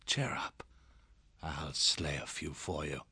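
A man's voice: extremely low pitch, steady tone, slow, sharp tone, husky, no echo, heavily acted. An actor playing a jolly, laconic male hare officer with chummy old-fashioned slang. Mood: cheering.

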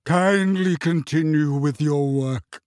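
A man voicing an insulted middle-aged witch seer, high-pitched and wavering, dramatic and self-pitying. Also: animated, takes it slowly, darkish tone, no echo, clean studio sound.

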